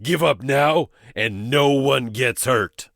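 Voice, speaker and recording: A man's voice: mid-range voice, slow pace, vocal fry, a bit bright, dry recording, clean studio sound, pitched above the performer's own voice. A man voicing a brave young male space hero who gives earnest, heroic commands. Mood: commanding.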